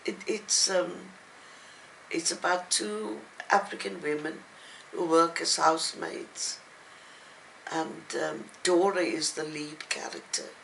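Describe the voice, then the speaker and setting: An older woman speaking as an interviewed writer, reflective and halting, with thoughtful pauses. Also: low-pitched, fairly even intonation, vocal fry, a bit bright, slight background noise, poor audio. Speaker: novelist and playwright being interviewed. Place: at home, indoors.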